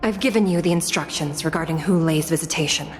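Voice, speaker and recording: A woman playing a stern, solemn prison judge in a video game, formal, deliberate and authoritative. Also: sits low, big-room sound, music in the background, lightly acted. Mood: stern, explanatory.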